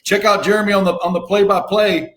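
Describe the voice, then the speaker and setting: A man's voice: sits high, fairly even intonation, brisk. A man hosting a sports show in a casual, informal way, often repeating his phrases.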